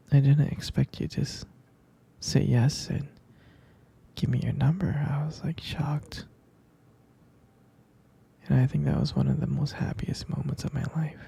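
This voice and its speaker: A performer playing a tender, doting partner at bedtime in an intimate ASMR roleplay, in a breathy, gravelly whisper with a halting, affectionate delivery. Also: mid-range voice, exaggerated voice. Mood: tender, nostalgic. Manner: slow, hushed, halting, affectionate.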